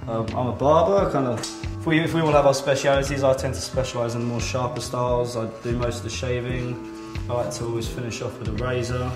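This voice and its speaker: A barber talks in a relaxed, casual way, hedging with loose phrases. The room sounds open and echoing, and loud music plays behind him. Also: male voice, medium pitch, fast. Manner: casual, relaxed, with hedges like "kind of". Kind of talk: interview for a promotional video. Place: indoors in a barbershop.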